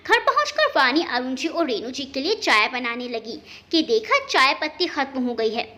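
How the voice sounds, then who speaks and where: A woman storyteller reading a scripted family story in an expressive narrating style. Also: high voice, normal speed.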